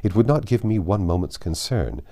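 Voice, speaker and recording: A man voicing a resolute, self-possessed young gentlewoman in an audiobook, speaking formally and firmly. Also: on the low side, very animated, moderate pace. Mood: defiant. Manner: firm, formal, articulate. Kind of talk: dramatized audiobook reading.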